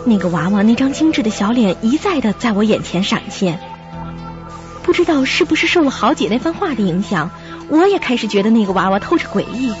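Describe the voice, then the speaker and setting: A woman playing a sensible, caring young flatmate who narrates and acts in a radio drama, with a husky, breathy voice. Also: a little high, brisk, dull audio, music in the background. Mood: uneasy narration.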